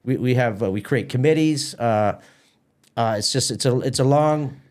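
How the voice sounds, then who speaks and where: A man's voice: mid-range voice, moderate pace, a little mellow. Informal man, stumbling over repeats. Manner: informal, repeats words and doubles back. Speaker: festival organiser, interview guest. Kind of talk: casual promotional interview chat.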